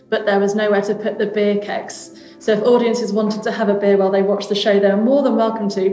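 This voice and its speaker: A woman's voice: mid-range voice, dark tone. British lady doing audio tour narration, echoey room, bit of music in the background. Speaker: theatre arts manager and guide.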